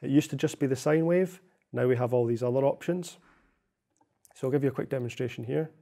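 A young man narrating a software tutorial in a measured, explanatory way. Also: medium pitch, gravelly. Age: young adult. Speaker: software demonstrator.

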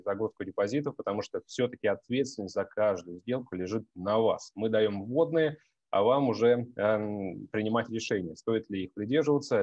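A man's voice: on the low side, a little mellow, dry recording, highs cut off. A man in his thirties gives market analysis over a video call on a headset, with many hesitations and direct address to his viewers.

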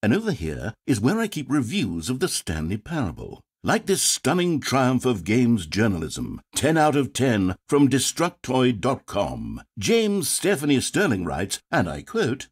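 A man's voice: mid-range voice, huge pitch swings, a little slow, hardly any pauses, breathy edge, no room sound, exaggerated voice. A man playing a pompous, self-important video game narrator who is easily rattled, with a theatrical delivery. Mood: smug. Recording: dry studio recording.

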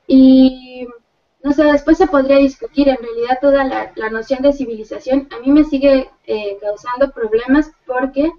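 High-voiced young woman presenting, hesitant. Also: moderate pace. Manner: thoughtful, hesitant, many fillers. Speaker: student presenter. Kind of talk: seminar presentation. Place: living room with others on a sofa. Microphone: far laptop webcam. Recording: distant, muffled video call audio.

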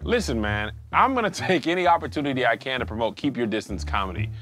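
Animated man in comic banter. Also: medium pitch, fast, noisy background. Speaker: comedian contestant. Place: podcast studio.

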